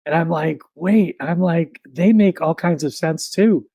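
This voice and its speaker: A man in his thirties, a podcast guest, telling his story in a relaxed, reflective way with many fillers. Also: fairly high pitch, measured pace, no room sound, muffled recording.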